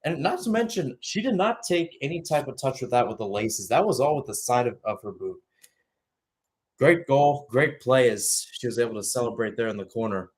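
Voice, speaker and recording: A man's voice: mid-range voice, animated, normal speed. A young man doing live radio-style soccer play-by-play, with conversational analysis that wanders and corrects itself. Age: young adult.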